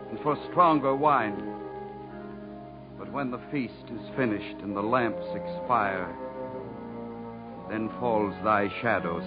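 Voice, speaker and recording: An actor playing a desolate lover, reciting verse in a solemn, mournful, theatrical 1950s radio style. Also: male voice, fairly low pitch. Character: desolate, lovesick man reciting romantic poetry. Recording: muffled old radio recording. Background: music.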